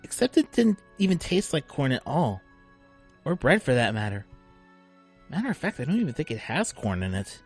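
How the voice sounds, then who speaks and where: A voice actor playing an awkward young man who rambles and later yells in frustration. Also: medium pitch, very animated, moderate pace, breathy edge, soft background music. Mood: sheepish.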